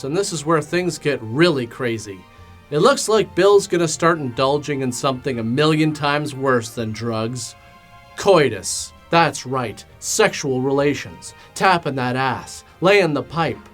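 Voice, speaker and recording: A man's voice: medium pitch, lively intonation, a little slow, faint music behind. A man narrating a comedic film recap in a sarcastic, mock-dramatic and casually crude way. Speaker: host of a film commentary show.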